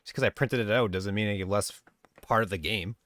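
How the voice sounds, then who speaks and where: A man co-hosting a podcast, chatting casually with a friend, animated and swearing freely. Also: lowish voice, quick pace, dry recording. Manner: animated, swearing, jumps into mock voices. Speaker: podcast co-host. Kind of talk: casual chat among friends.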